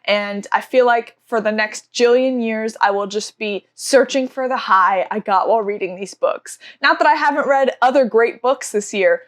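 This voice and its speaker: A woman's voice: on the high side, normal speed, few pauses. A young woman reviewing books in a casual vlog, stuffy from allergies, gushing with enthusiasm and often saying "like". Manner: gushing, enthusiastic, frequent "like" fillers. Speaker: book vlogger.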